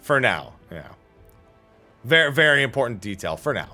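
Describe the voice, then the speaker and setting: Man, livestream host, casual storytelling, very expressive intonation, profane, acts out dialogue, faint music behind. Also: medium pitch, normal speed. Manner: animated anecdotes, acting out dialogue, profane.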